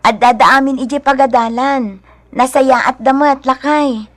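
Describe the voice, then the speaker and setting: A woman's voice: sits high, fairly even intonation, a little slow, a bit bright. An actress playing a devout, caring, anxious young mother in an Ilocano radio drama, with tender, earnest, emotive delivery. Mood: grateful, warm.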